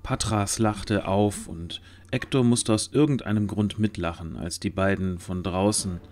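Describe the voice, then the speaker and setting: Low-voiced male storyteller. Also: a little slow, darkish tone. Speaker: narrator. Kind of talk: scripted story narration. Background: music.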